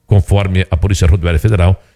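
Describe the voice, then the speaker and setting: Man, low pitch, mellow, steady news read. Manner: steady measured newsreading with occasional slips. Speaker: news presenter. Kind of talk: regional radio news reading.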